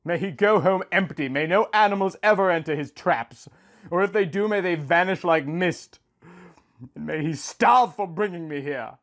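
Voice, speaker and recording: Male reader as dying wild warrior: bitter, anguished, impassioned declamation, lifted pitch, epic storytelling. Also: moderate pace, fairly bright tone. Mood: furious cursing.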